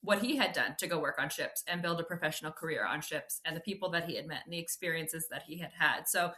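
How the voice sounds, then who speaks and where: A woman's voice: low voice, quick pace, sharp tone. A woman in her forties hosting a podcast interview, talking in an enthusiastic, effusive way. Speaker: podcast host.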